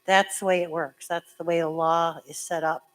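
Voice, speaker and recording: A woman town clerk with a hoarse voice, chattily explaining voting procedure step by step at a public meeting. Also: lowish voice, flat delivery, moderate pace. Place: school meeting room.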